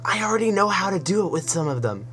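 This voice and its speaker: A man's voice: high voice, big pitch swings, quick pace, slight background noise, voice pushed up. A man voicing a shy, earnest nine-year-old girl who mumbles. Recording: soft music behind.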